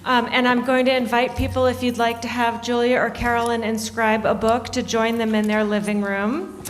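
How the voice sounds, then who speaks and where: A woman hosting the event, thanking the guests and making announcements in a measured way. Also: on the high side, level tone, faint music behind. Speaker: event host. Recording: echoey hall with crowd and faint noise.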